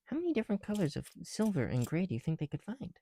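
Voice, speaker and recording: A man's voice: high voice, huge pitch swings, fast, warm tone. A man making a casual ASMR video, chatting in a self-deprecating way with small asides as they go. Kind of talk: ASMR, casual chat while building bricks. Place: on the bedroom floor at night.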